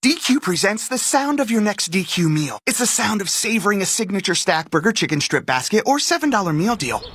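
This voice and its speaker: Lively, animated radio ad announcer. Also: medium pitch, brisk, husky. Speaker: advertisement announcer. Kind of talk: scripted radio fast-food ad read.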